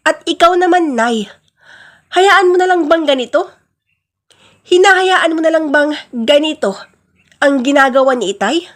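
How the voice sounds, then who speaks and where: A woman's voice: sits high, expressive, a little slow, long pauses. A woman voicing a defiant adult daughter, indignant and pleading, in a radio-style family drama.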